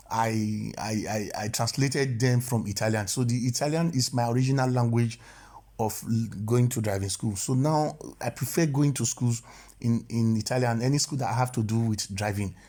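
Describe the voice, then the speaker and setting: A man's voice: mid-range voice, warm tone. Man explaining driving rules, often restarting. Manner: repetitive, self-correcting, stammers on restarts. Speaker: driving instructor. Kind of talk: explanatory voice-over lesson.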